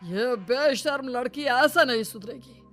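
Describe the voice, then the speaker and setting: Man voicing a very high-pitched domineering father-in-law. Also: expressive, unhurried, a bit bright, faint music behind, heavily acted. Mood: scolding. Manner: scolding, commanding. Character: domineering, angry patriarchal village father-in-law. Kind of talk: Hindi cartoon story dialogue.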